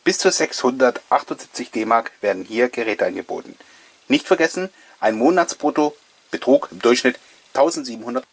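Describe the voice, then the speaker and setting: A man's voice: mid-range voice, animated, normal speed, creaky. A man, giving chatty, playful, nostalgic commentary as the host of a casual video show. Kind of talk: casual, jokey commentary on an old mail-order catalogue.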